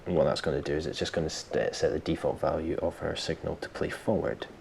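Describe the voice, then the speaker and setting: A man presenting a software tutorial step by step, informally thinking aloud as he explains. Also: a bass voice, fairly even intonation, quick pace, creaky, slight background noise. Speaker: tutorial host.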